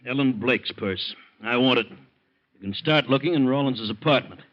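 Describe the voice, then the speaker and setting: An American actor playing a stern, determined district attorney in a 1940s radio drama, clipped and authoritative. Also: male voice, mid-range voice, moderate pace, lightly acted. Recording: muffled old radio broadcast.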